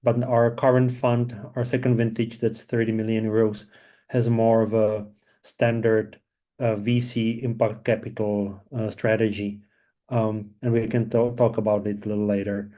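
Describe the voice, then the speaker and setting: A man's voice: fairly low pitch, little pitch movement, normal speed, dark tone. A middle-aged man talking on a webinar panel in a loose, conversational way, with frequent fillers. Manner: conversational, frequent "you know" and "um". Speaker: impact investor, panelist. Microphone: video call.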